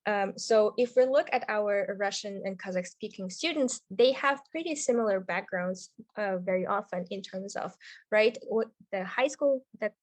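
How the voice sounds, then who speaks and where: A woman's voice: medium pitch, moderate pace, no echo. A woman instructor leads a live online writing class, speaking in an easy conversational way and tagging her points with "right?". Her voice sits in a middle range and comes across dry and close, with no room around it. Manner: conversational, frequent "right?" checks and fillers. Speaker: webinar instructor. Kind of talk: teaching a live webinar.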